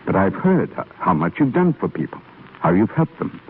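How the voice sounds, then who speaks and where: An actor playing a solemn, devout, fatherly stonecutter with measured, reverent delivery in a 1930s radio drama. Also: fairly low pitch, very animated. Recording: scratchy, muffled 1930s radio broadcast.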